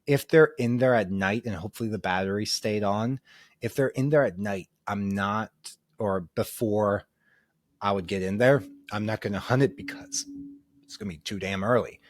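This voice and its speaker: A man talks through a solo podcast in a loose, rambling way, full of ums and restarts. His lowish voice sounds a little nasal and stuffed up from a cold. The sound is dry and close. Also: normal speed, dry recording. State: congested from a cold. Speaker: podcast host. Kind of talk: casual solo podcast monologue.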